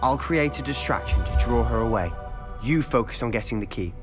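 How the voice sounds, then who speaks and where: A man's voice: medium pitch, animated, a little fast, over music, natural voice. A man voicing a confident, sly teenage wizard in a video game, with a British accent and a wry, conspiratorial manner. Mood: reassuring. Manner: conspiratorial, wry, self-assured. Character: confident, sly teenage schoolboy wizard, loyal rule-breaker.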